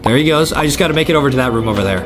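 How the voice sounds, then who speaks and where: A young man hosting a gaming video with excited, repetitive commentary, talking straight to his viewers. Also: mid-range voice, racing. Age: young adult. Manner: animated, repetitive, addressing viewers. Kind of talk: excited let's-play commentary. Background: game music.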